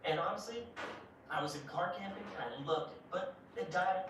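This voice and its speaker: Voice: medium pitch, moderate pace. A person in a played-back vlog clip talking earnestly about years of making videos. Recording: echoey, distant, noisy playback.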